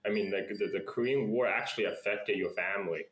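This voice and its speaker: A man's voice: lowish voice, brisk, a bit bright. A man in his forties hosting a podcast interview over a video call, prompting his guest with leading follow-up questions. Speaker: podcast host. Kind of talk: casual interview chat. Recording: roomy video call audio.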